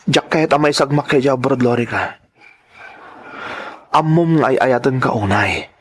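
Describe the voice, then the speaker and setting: Casting: actor as dutiful working husband, medium pitch, Ilocano accent, grave and insistent, radio drama. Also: male voice, moderate pace, breathy edge, close to an everyday voice. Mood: worried, insistent. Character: Rodel, protective, dutiful husband and tricycle driver.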